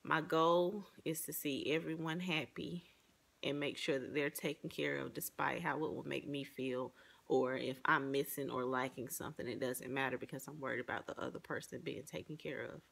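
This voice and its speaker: A woman gives a personal, reflective presentation, partly read from notes. Her voice has a noticeable fry and gravel to it. She explains herself in an earnest way. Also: extremely low pitch, fairly even intonation, moderate pace, fairly bright tone.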